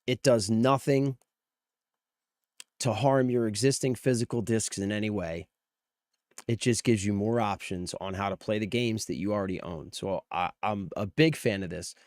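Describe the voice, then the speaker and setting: A man's voice: lowish voice, measured pace, a little mellow, no echo, clean studio sound. A man hosting a casual livestream, talking informally to viewers while sick, his voice strained. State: sick, voice strained from near loss. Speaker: live streamer host. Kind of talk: casual livestream commentary on gaming news.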